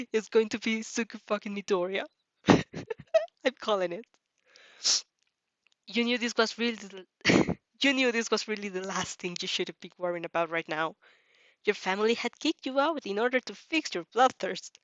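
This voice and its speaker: A woman's voice: medium pitch, a bit bright, dry recording, dull audio. A woman reading a story aloud in a casual way, stumbling now and then and adding giggly asides. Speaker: reader reacting to fan fiction.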